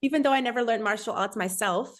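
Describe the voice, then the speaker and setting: Podcast guest, female, German accent, slightly high voice, casual personal storytelling in an interview. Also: fast talker. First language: German and Transylvanian Saxon dialect.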